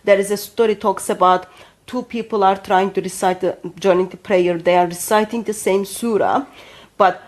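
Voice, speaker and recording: A woman with a medium-pitched voice and a Turkish accent. Her English is careful and halting, that of a non-native speaker, as she co-hosts an explanatory discussion and cites references. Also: fairly even intonation. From Turkey. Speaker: co-host.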